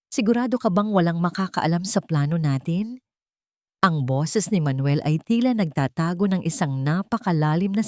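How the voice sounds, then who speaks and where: A woman's voice: lowish voice, darkish tone, dry recording, studio quality. A woman reading a scripted story aloud in a dramatic narrating style. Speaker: audiobook narrator.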